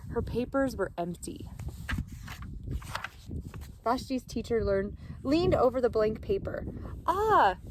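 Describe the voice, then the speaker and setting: A woman teacher reading a storybook aloud with asides to children, expressive and encouraging, in simple child-directed phrasing. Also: a little high, slow pace, long pauses, background noise.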